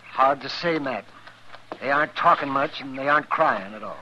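An actor playing a weary, firm frontier town doctor in a radio western, blunt, grave and measured. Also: male voice, mid-range voice, pushed and bright, highs cut off, a little hiss or hum. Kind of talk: 1950s radio western acting.

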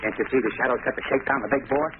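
A man acting a nervous, excitable gangster henchman in old radio drama, with slangy tough-guy talk. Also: medium pitch, rapid-fire, natural voice. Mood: frightened, jumpy. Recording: scratchy, noisy, narrow-band old radio recording.

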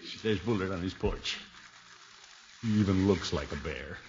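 An actor playing a pompous, blustery middle-aged uncle in a 1950s radio comedy, huffy and exclamatory. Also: male voice, low-pitched, moderate pace. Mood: indignant. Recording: muffled, noisy 1950s radio recording.

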